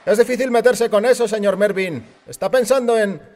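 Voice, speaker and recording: Audio fiction: male narrator as anxious, respectful mechanic giving warnings, markedly high-pitched voice. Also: brisk, darkish tone, lightly acted. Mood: apologetic. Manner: deferential, cautioning. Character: deferential, worried male car mechanic warning a customer.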